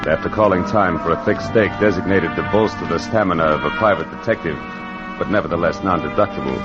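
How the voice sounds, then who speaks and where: Actor playing hard-boiled American male private eye, very low voice, sardonic punchy narration, radio noir, loud music behind. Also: lo-fi sound, lightly acted. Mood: wry, narrating.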